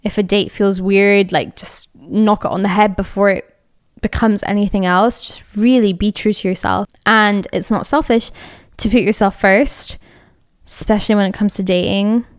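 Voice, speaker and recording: A woman's voice: medium pitch, fairly even intonation, normal speed, no echo, studio quality. A young woman from Scotland with a light Edinburgh accent, hosting a podcast and giving rambling, casual advice full of fillers and restarts. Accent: Scottish, Edinburgh. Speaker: podcast host.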